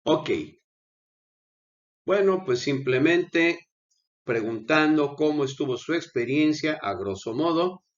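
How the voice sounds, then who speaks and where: A man's voice: on the high side, animated, slow pace, long pauses, muffled recording. A man, feeling unwell with coughing fits, hosting a channel and talking viewers through a video in an earnest, pleading way. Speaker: channel host. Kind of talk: conversational commentary over a video.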